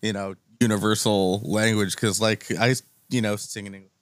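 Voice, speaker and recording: A man hosts a relaxed interview in a friendly, chatty way. He leans on fillers and seeks agreement with "right?". His voice sounds dry and close, with no room echo. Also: fairly low pitch, normal speed. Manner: friendly, filler-heavy, rising "right?" tags. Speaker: podcast host, musician. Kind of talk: casual interview chat.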